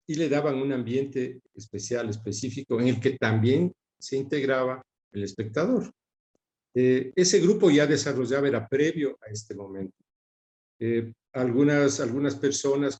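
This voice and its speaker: A middle-aged Ecuadorian man speaks in a mellow, warm voice of average pitch. He recollects in interview style, halting often as he searches for the right word. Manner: halting, pausing to search for words. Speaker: interview guest recalling his father's career. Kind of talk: reflective spoken recollection in an interview.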